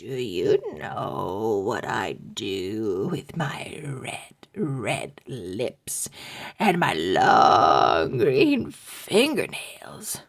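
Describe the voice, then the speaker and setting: Woman doing a hushed, breathy ghoul woman for a storybook, slow and low. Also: huge pitch swings, full character voice. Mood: menacing. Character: ghostly female zombie at a window, creepy.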